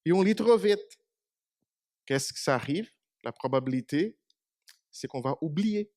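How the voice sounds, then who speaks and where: A man's voice: fairly high pitch, expressive, unhurried, a little mellow, no room sound, studio quality. A man whose first language is Portuguese, giving a short church meditation in a small congregation and asking them questions. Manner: conversational, asks the congregation questions. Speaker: preacher.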